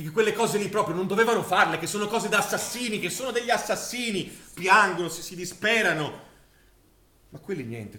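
Male, stage drama: distraught war survivor giving testimony, higher-pitched voice, halting and repetitive, roomy echo. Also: sharp tone. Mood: agitated, loud. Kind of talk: theatrical monologue in a radio-broadcast stage play.

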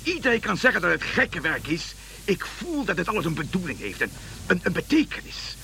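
An actor playing a fervent, dreamy old king in a Dutch radio play, excitable, stammering and repeating himself. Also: high voice, a little fast, creaky, slight background noise, exaggerated voice. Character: old Balthasar, fervent dreamy wandering king following a star.

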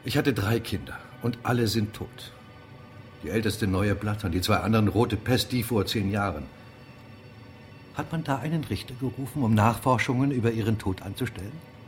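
An actor playing an angry, bullying middle-aged mayor in a German radio drama, scornful and commanding. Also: male voice, fairly low pitch, normal speed, faint music behind, natural voice. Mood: bitter, grim.

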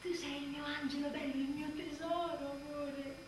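Actress doing frazzled new mom monologue, fairly high voice swinging all over, theatrical, mic far off with faint noise. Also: female voice, normal speed, lightly acted. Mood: tender whispering. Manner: expressive, emphatic, with abrupt swings in volume. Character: overwhelmed, inadequate new mother, wry and exasperated. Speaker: stage actress performing a monologue. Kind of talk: theatrical comic-dramatic monologue. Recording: echoey room, distant mic, faint noise.

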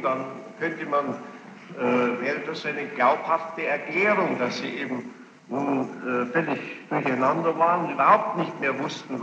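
A man questioning a witness as a presiding judge, formal and probing, choosing his words deliberately with pointed challenges. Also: on the high side. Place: large reverberant courtroom. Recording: muffled, noisy archival courtroom tape, echoing hall.

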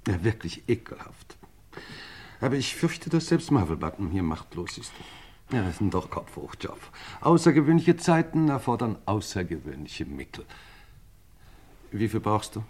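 An actor playing an elderly lord and indulgent uncle in a German crime radio play, with a hesitant, theatrical manner. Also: male voice, on the low side, very animated, normal speed, darkish tone, breathy edge.

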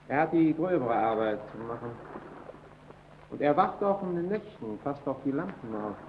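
An actor playing a guarded, brooding lighthouse technician in an old radio play, terse, evasive and defensive. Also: male voice, fairly high pitch, lightly acted. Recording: dull, noisy old radio recording.

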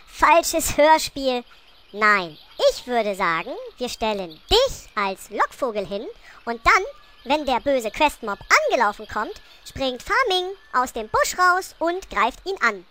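High-voiced parody radio-play queen, acted by a woman: haughty, theatrical, unhurried, bent on ruling the world. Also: pushed and bright, slight background noise, exaggerated voice. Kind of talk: German parody audio drama acting.

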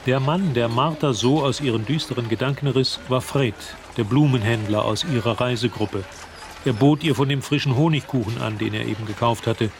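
A man's voice: medium pitch, normal speed, noisy background, lightly acted. Actor as composed male radio drama narrator. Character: composed literary storyteller.

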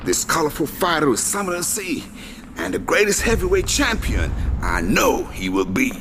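Radio play casting: cocky young male boxing champion, slightly high voice with big melodic swings, teasing and theatrical, over a noisy crowd. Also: big pitch swings, moderate pace. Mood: boastful, taunting. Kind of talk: radio play dialogue and rhymed boasting. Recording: noisy, with crowd chatter behind.

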